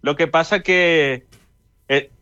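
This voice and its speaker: A man from Corrientes with an Argentine accent chats casually as an interview guest, haltingly, often repeating his phrases. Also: a little high, sharp tone, smooth. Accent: Argentine Spanish. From Paso de los Libres, Corrientes, Argentina. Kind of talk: casual chat. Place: remote call into a radio show. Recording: roomy, dull remote call.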